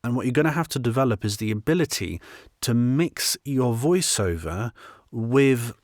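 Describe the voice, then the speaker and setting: A man's voice: medium pitch, animated, normal speed, darkish tone, no echo, studio quality. A man giving informal instructional advice as a voice-over artist, explaining step by step with emphasis and repeated phrases.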